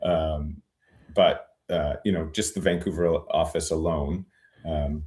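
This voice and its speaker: A man with a very low voice speaks in a relaxed interview, thinking aloud as he goes. He circles back, restarts sentences and fills pauses with "um" before landing his point. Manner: reflective, many fillers and restarts. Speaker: guest, retired immigration lawyer. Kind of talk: conversational interview.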